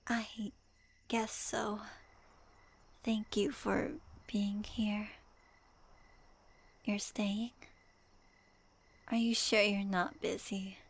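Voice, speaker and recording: A woman playing a gentle, grieving young female traveler in an intimate ASMR audio roleplay, speaking breathily and haltingly. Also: medium pitch. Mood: subdued, grateful. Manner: breathy, halting, often half-whispered. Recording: faint noise, crickets behind.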